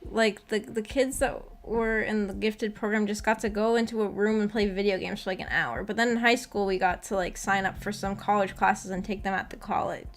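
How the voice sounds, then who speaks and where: A woman's voice: mid-range voice, steady tone, fairly quick, hardly any pauses. A woman chatting casually as a livestream host, rambling in a giggly, self-deprecating way. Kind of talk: casual chat. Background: music.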